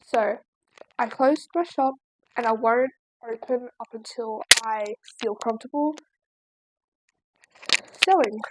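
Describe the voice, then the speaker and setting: Young aussie girl rambling on her vlog, kinda high voice, noisy background. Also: slow pace, long pauses. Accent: Australian. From Australia. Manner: chatty, rambling, lots of likes and ums. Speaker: crochet vlogger. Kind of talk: casual Q&A vlog. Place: at home, seated in a chair.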